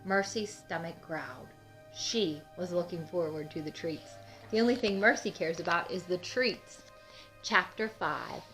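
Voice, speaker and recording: A woman's voice: on the low side, a little slow. A woman narrating a children's book expressively and putting questions straight to young listeners. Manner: expressive, addresses children directly. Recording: music under the voice. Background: music.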